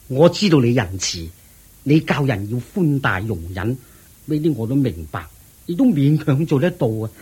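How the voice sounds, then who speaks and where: A man's voice: mid-range voice, a little mellow, slightly rough. A man with a Hong Kong Cantonese accent playing a proud, worried wealthy father who pleads and frets in a radio drama. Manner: pleading, fretful.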